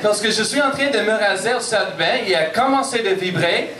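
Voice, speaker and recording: Man in his thirties, anglophone Montreal accent, English native speaker, stand-up comedy, high-pitched, echoey room picked up from afar. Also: expressive, brisk, recorded from a distance, noisy background. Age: 30s. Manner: self-deprecating, punchy, frequent "ok?" check-ins. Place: comedy bar stage.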